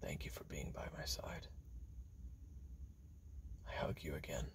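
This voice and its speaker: Man voicing a very deep, whispery male assassin. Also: huge pitch swings, measured pace, full character voice. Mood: tender, hushed. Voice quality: breathy whispering with gravelly fry. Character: treacherous male assassin recounting his betrayal to you. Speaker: roleplay narrator. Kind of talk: second-person audio roleplay narration, close and intimate.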